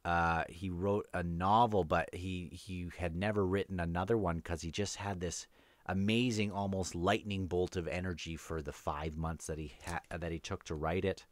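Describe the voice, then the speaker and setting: Man, low pitch, dry sound, casual book discussion host, halting delivery, thinking out loud. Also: steady tone, dry recording. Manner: halting, rambling, thinking aloud. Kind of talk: casual read-along commentary with quoted passages.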